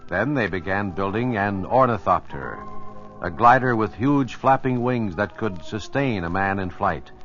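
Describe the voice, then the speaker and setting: Animated male radio narrator. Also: on the low side, unhurried, soft background music. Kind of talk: scripted 1950s radio documentary narration. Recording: muffled old radio recording.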